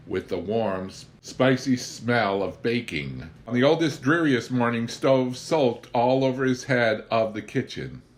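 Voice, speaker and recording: A man with a fairly low voice reads a memoir aloud in an expressive storytelling style. His delivery is wry and theatrical, with deliberate, weighted phrasing. Some faint noise sits behind the voice. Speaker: audiobook reader.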